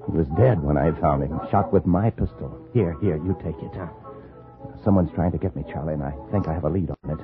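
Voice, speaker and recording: A man's voice: very deep voice, a little fast. An American actor playing a tense, dogged man on the run in a 1950s radio thriller. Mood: hushed, pressing. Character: tense hunted man, framed for murder, urgent and dogged. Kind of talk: 1950s radio thriller acting and first-person narration. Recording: muffled old radio broadcast with music.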